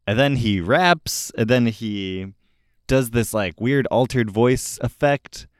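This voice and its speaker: A man's voice: mid-range voice, expressive, slow pace, no echo, clean studio sound. A man hosting a music review show, talking casually and enthusiastically about albums in an informal, opinionated way. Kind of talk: casual album recommendation talk.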